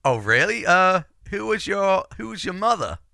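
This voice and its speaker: A man's voice: high-pitched, big pitch swings, moderate pace, vocal fry, sharp tone, dry recording, clean studio sound, exaggerated voice. A man playing a brazen, casual doctor in improvised comedy, rambling and hesitant as he butts in. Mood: puzzled, boastful. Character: brazen, casual male doctor in a robot suit. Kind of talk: improvised comedy audio drama.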